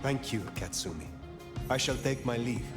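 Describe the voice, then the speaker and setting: A man acting an aloof, courteous old vampire sheriff in a video game, formal and measured, under loud music. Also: medium pitch, lively intonation, lightly acted. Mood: reserved.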